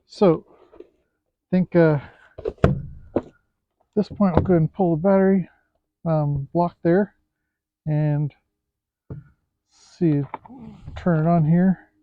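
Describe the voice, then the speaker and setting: Thin-sounding, higher-voiced man reviewing casually. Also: steady tone, slow pace, long pauses, dry recording. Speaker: product reviewer. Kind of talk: casual unscripted product review. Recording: thin, phone-like, band-limited sound.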